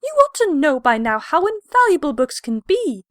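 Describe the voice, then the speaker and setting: A woman's voice: high-pitched, sing-song, moderate pace, dry recording, clean studio sound. A woman voicing a refined, proper young female pony in a storybook, speaking politely and emphatically. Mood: gently scolding. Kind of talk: audio storybook character acting.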